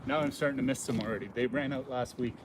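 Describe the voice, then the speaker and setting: A man hosting a casual unscripted walking livestream outdoors, explaining things in a halting, informal way. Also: mid-range voice, steady tone. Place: outdoors on a city waterfront. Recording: noisy outdoor city audio with traffic.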